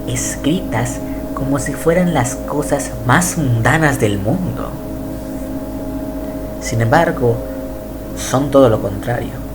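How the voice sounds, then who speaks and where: A man reading a scripted horror narration in a measured, even way. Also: mid-range voice, noisy background. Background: music.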